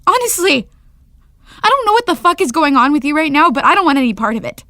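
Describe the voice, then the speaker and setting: A woman's voice: sits high, sing-song, fast talker, bright, forceful tone, no echo, clean studio sound, exaggerated voice. An actress playing an emotional young woman who is hurt and angry at her best friend. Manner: emotional and dramatic. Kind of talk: web series drama acting.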